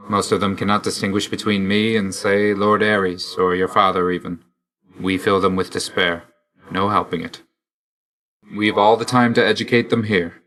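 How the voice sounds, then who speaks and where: An actor playing a brooding young god of death in a video game, speaking in a reserved, dry, measured and formal way. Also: male voice, sits low, a bit bright. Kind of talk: video game character dialogue.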